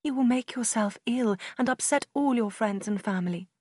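Woman voicing a sensible, gentle sister, dry close sound. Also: a little high, normal speed, no room sound, lightly acted. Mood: soothing, concerned. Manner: earnest, gently reasoning. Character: sensible, kind, restrained elder sister. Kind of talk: audiobook character acting in a simplified classic novel.

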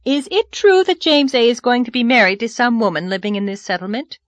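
A woman voicing a tiny, brisk, bossy wife of nearly fifty in an audiobook, chirping and self-assured. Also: fairly high pitch, no echo, voice pushed up, lightly acted. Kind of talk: audiobook character voice.

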